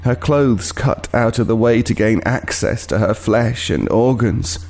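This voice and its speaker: An actor playing a haunted, guilt-ridden homeless man, telling his horror tale in a grave, deliberate, literary way for an audio play. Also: medium pitch, darkish tone. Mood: haunted, remorseful. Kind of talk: dramatic first-person horror narration, audio play.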